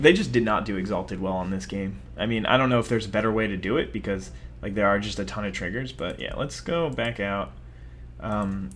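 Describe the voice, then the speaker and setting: A man's voice: fairly low pitch, slight background noise. A young man giving rambling, self-mocking commentary over his own card game, talking casually to viewers. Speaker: gaming video host.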